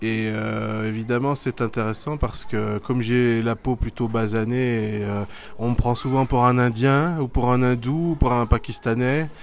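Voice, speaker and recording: Thoughtful man with frequent pauses. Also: medium pitch, steady tone, gravelly. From Belgium. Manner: thoughtful, hesitant with many fillers. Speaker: theatre artist and teacher. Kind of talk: school media interview, reflective answers.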